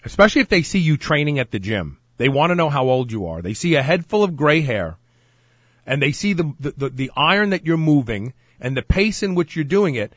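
A man's voice: a little high, very animated, fairly quick, fairly bright tone, breathy edge, no echo. A man in his fifties hosting a radio talk show, chatting energetically and proudly about getting older. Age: 50s. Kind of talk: casual radio show chat.

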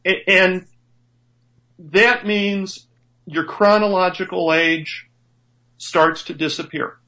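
A man's voice: sits high, steady tone, slow, sharp tone, rough recording. A man in his fifties, a strength coach, chatting enthusiastically on a radio show and stumbling into restarts now and then. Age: 50s. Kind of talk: casual radio show chat.

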